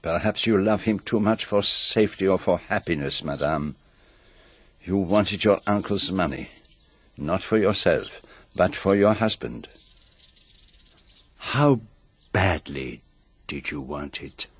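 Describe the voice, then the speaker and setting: An actor playing a shrewd, vain and courteous foreign detective in a radio mystery, speaking formally and deliberately with pointed questions. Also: male voice, deep voice, expressive, husky, full character voice. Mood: probing, grave. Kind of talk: British radio mystery drama acting.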